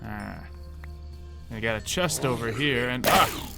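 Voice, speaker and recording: A man giving casual gameplay commentary, thinking aloud in a mild, halting way. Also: mid-range voice, expressive, creaky. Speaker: gamer playing a Minecraft roleplay series. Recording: game music behind the voice. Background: game music.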